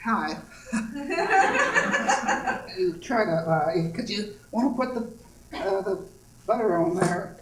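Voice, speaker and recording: A folksy grandmother rambles through a homespun cooking pitch, pausing and drifting as she goes. The voice sits at a middling pitch, somewhat far from the mic, in an echoey theatre with loud noise. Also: very animated. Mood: cheerfully instructive. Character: folksy old grandma pitching sponsor baking products. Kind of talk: old-time variety show sketch, homespun cooking demonstration. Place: live theatre stage. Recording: echoey noisy theatre stage recording.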